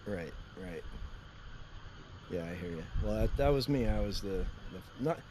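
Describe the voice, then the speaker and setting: A man with a deep voice who tells his stories loosely, circling back and chuckling as he goes. He talks like a relaxed podcast co-host, with faint noise underneath. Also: unhurried. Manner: rambling storyteller, laughs often. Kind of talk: casual chat.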